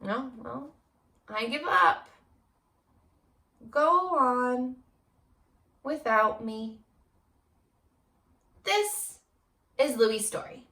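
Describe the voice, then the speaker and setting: An exasperated little boy from a storybook, acted out by a woman, high and bright in a roomy space. He exclaims theatrically and stretches out his dismay over every mess. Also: expressive, extremely slow, long pauses, exaggerated voice. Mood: defeated, sulky. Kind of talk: animated picture-book read-aloud.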